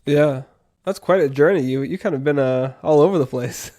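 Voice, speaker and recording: A man's voice: fairly high pitch, moderate pace, warm tone. A man in his thirties hosting an interview, friendly, curious and encouraging with his guest. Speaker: podcast host. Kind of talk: casual interview chat.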